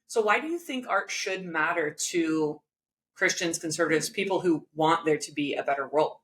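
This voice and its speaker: A woman in her thirties hosts a podcast over a video call, her voice sitting on the low side. She introduces her guest and asks friendly, earnest questions from a room at home. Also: lively intonation, normal speed. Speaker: podcast host. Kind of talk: interview hosting.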